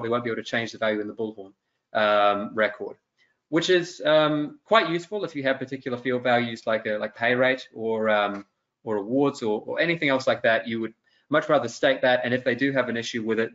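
A man's voice: medium pitch, brisk, a bit bright. A young man giving an informal, explanatory webinar walkthrough in a conversational way, with frequent ums. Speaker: webinar presenter giving a software demonstration. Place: indoor room, on a video call. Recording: dull, lo-fi webinar audio.

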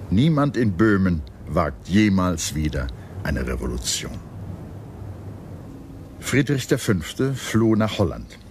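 A man reading scripted historical documentary narration in a measured, declarative storytelling manner. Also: low voice, a little mellow. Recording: clear background noise and ambience.

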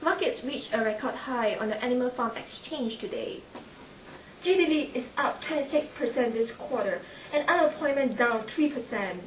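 A woman's voice: high-pitched, animated, normal speed, a little hiss or hum. A young woman playing an earnest television news anchor, reading a scripted mock newscast. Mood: formal. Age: young adult. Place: indoor room with wooden panels. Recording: echoey room, distant camera mic.

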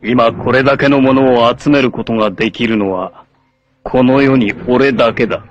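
An actor playing an arrogant, obsessive warlord villain in a 1980s anime, haughty, menacing and theatrical in delivery. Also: male voice, medium pitch, steady tone, normal speed, dull audio, a little hiss or hum, lightly acted. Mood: possessive, imperious. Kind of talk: dramatic 1980s anime voice acting.

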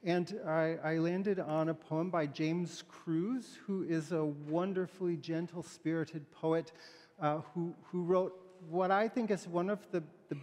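A middle-aged man, a pastor giving a sermon and readings in a gentle, halting, informal way. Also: a little high. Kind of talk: sermon with poem and scripture readings, conversational. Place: large church sanctuary, indoors, at a pulpit. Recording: echoey church hall, long reverb.

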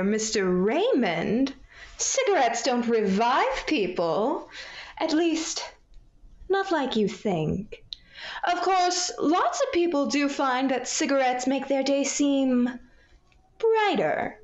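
Young actress, cheery 1940s housewife pitchwoman, genteel, highish voice, unhurried, slightly dull roomy audio. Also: heavily acted. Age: young adult. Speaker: radio show sidekick and ad announcer. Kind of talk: 1940s radio commercial acting.

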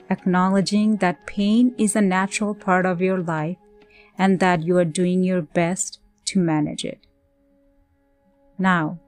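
A woman leading a scripted guided meditation in a gentle, soothing, measured way with pauses. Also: mid-range voice. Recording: voice over background music. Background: music.

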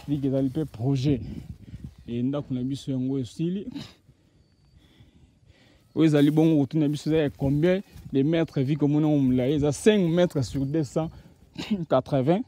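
A man's voice: on the high side, dark tone, a little hiss or hum. Man reporting outdoors in grassland. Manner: mixes Lingala and French, chatty, repeats words. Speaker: reporter presenting a building project. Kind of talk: casual field report.

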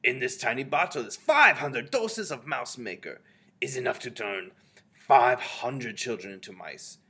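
Brief: male reader as furious grand witch, loud, commanding, raised swooping pitch, husky. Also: very animated, heavily acted. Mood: imperious, commanding. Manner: shouting, commanding, drawn-out menacing delivery. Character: imperious, furious female grand high witch, tyrannical leader.

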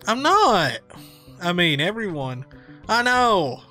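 A man reading the lines of an awkward, restless young female cat in a video game, in a higher, bouncy delivery. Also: very animated, takes it slowly, bright, forceful tone, faint music behind, exaggerated voice. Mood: eager.

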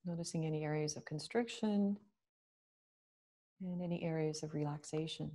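Woman, meditation guide, slow soothing delivery, mellow low voice. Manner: slow, gentle, soothing, with long pauses.

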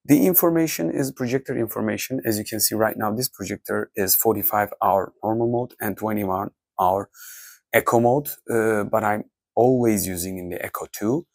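A tech reviewer talks through settings menus, stopping and restarting often. His voice is low with a gravelly edge. The recording is dry and close. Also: darkish tone, no room sound. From Turkey. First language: Turkish. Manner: halting, many restarts and fillers. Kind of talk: off-the-cuff menu walkthrough.

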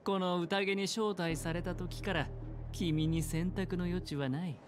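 A man playing a suave, flamboyant young gambler in an anime game, speaking in a smooth, theatrical, self-assured way. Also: sits high, steady tone, a little slow, over music. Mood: coolly pressing. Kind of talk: Japanese anime game voice acting.

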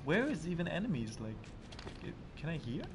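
A man talks as he plays, flustered and quick to put himself down, his voice sitting a little high and swinging widely. Loud game gunfire and noise play under him the whole time. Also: very animated. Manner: self-deprecating, flustered, swearing. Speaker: student radio host playing a video game. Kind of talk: casual gameplay chat.

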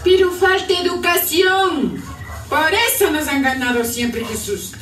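Fiery Ecuadorian Andean woman campaigner in radio drama, emphatic, very varied pitch, echoey far-off playback. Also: animated, a little slow, fairly bright tone. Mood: earnest, urgent. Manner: emphatic, accusatory. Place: radio drama played back at a live stage show. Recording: echoey playback in a hall from far off, faint music.

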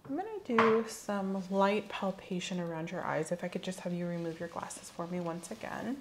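Soft-spoken, creaky-voiced woman doing ASMR. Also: on the low side, background noise. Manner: soft-spoken, measured, gently instructing. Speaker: ASMR host playing a medical examiner. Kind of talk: ASMR clinical exam roleplay. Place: small indoor room set up as an exam room.

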